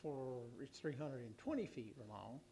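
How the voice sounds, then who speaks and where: A hoarse man reports to a city council in a rambling, halting way, full of restarts and fillers. His voice sits in an ordinary middle register. A faint hum runs underneath. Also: mid-range voice, sing-song, warm tone. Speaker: city official giving a utility report.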